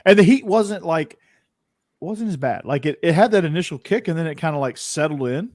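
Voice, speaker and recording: A man's voice: on the high side, expressive, brisk, darkish tone, no room sound, clean studio sound. A man of forty co-hosting a podcast in casual chat, rambling and restarting his sentences. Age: 40s. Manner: rambling, self-interrupting, chatty. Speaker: podcast co-host.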